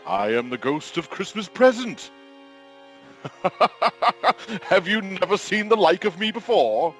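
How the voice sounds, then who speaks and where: Grand, slow, hearty Christmas spirit. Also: male voice, high voice, big pitch swings, fairly bright tone, faint music behind, exaggerated voice. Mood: jovial. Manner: declamatory, grandiose. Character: hearty, grand male Ghost of Christmas Present. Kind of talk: radio play acting.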